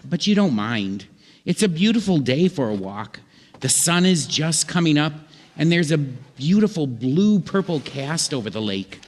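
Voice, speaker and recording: A man narrating a scripted comic story on stage in an old-time radio show, deliberately and with dramatic pauses. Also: on the high side, big pitch swings. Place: live theatre stage. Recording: theatre hall reverb, faint noise.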